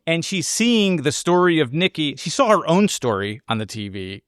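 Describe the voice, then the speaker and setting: A man co-hosting a casual film discussion, explaining his ideas in a halting way as he thinks aloud. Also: on the high side, very animated, fairly bright tone, no echo, clean studio sound. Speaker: podcast co-host.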